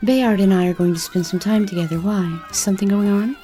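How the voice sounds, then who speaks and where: Woman acting as eager, earnest woman, mellow soft-edged tone, questioning, audio drama, quiet music underneath. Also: medium pitch, fairly quick, lightly acted. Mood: curious. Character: anxious, eager woman adjusting to freedom.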